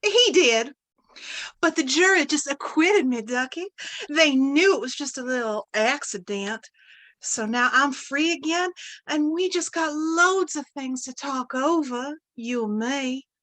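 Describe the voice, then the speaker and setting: High, slow, sugary flirt played by a woman. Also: expressive, a bit bright. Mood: coy, sugary. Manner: coy, drawling, cooing. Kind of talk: old-time radio comedy acting.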